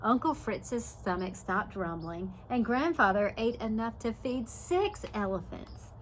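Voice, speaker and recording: A woman with a fairly high voice reads a picture book aloud to small children. She is animated and teacherly, often stopping to ask what they think. There is some noise behind her. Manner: animated, pauses to ask young listeners questions. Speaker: preschool teacher reading aloud.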